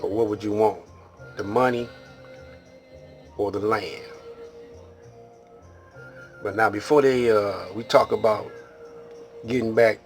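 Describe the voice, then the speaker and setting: An American man hosting a casual monologue, talking in a halting, rambling, streetwise way. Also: fairly low pitch. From Detroit, Michigan, United States. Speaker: video host.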